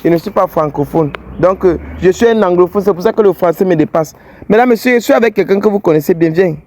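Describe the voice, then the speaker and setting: A man with an English-speaking Cameroonian accent hosts a street confrontation vlog. His voice sits high for a man, and his manner is blunt and teasing. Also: quick pace, darkish tone, a little hiss or hum. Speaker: fidelity tester vlogger host.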